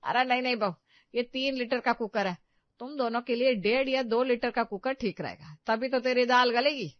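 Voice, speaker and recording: A bossy middle-aged mother-in-law from the village, scolding and lecturing in a raised, fairly high voice, as a woman puts her on. The recording is dull and lo-fi, dry and close. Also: normal speed, no room sound, lightly acted. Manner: scolding, instructive, exclaiming.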